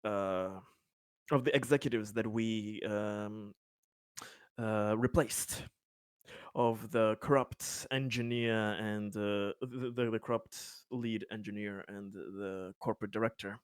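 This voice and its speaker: Slow, halting low-voiced man at roleplay. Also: fairly even intonation, smooth, dry recording, clean studio sound. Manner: halting, hesitant, stammering with repeated words. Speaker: player in a tabletop roleplaying game. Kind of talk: improvised roleplay, casual table talk.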